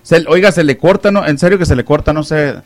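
A man hosts a call-in radio show, joking and teasing his callers as he goes. His voice sits a little high. The studio sound is close and completely dry. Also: quick pace, no room sound, faint music behind. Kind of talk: casual call-in radio banter. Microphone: studio microphone.

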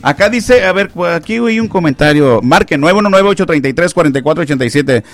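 A man hosts a call-in radio show, joking and teasing his callers as he goes. His voice sits a little high. The studio sound is close and completely dry. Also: lively intonation, fast, no room sound. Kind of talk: casual call-in radio banter. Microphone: studio microphone.

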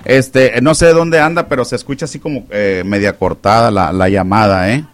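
A man's voice: on the low side, moderate pace, no echo. A man hosting a call-in radio show, chatting and teasing callers in a joking way. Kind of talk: casual call-in radio banter. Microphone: studio microphone.

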